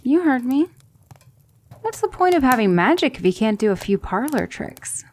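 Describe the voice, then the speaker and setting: A woman playing a patient, composed demon magic teacher in a soft-spoken ASMR roleplay, measured and reassuring. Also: high-pitched, sing-song, slight background noise. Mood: confident, instructive. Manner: measured, instructive, reassuring, with hushed breathy dips.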